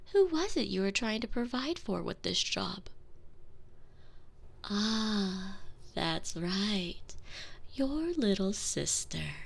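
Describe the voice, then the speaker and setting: A seductive female vampire mistress, voiced by a woman in an intimate binaural audio roleplay. She talks slowly and toys with her servant, her pitch rising and falling in lively swoops. Her voice carries a gravelly fry and often sinks to a hushed whisper. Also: full character voice. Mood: menacing. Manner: teasing, manipulative, slips into soft whispers. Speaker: voice actress in a one-sided audio roleplay.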